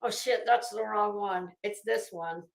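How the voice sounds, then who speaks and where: A woman's voice: mid-range voice, a little slow, creaky, echoey room, rough recording. A woman hosting a casual livestream, thinking aloud in a rambling, hesitant, informal way and reading documents out. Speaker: livestream host. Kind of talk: casual live commentary mixed with reading legal documents aloud.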